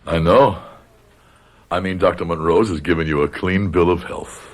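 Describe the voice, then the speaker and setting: Actor playing a brooding, deep-voiced middle-aged father. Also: fairly even intonation, normal speed, natural voice. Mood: anxious, pleading. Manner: grave, brooding, dramatic. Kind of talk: radio drama acting with first-person narration.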